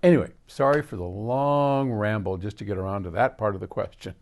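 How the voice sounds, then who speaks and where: A man's voice: lowish voice, a little fast, vocal fry. An older American man hosting a casual question-and-answer talk, rambling through explanations and anecdotes with frequent digressions. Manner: animated, digressive storyteller who quotes conversations. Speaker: host answering audience questions.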